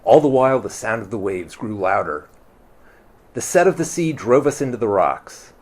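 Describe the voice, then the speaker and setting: A man's voice: on the low side, expressive, moderate pace, a little mellow, slightly rough. A man reading a novel aloud as its narrator, in a scripted storytelling style.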